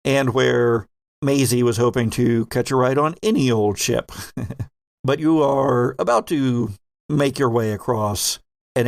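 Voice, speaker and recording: A man's voice: mid-range voice, creaky, no echo, clean studio sound. A man working as game master, narrating a tabletop game in an improvised, descriptive style that builds scenes in lists.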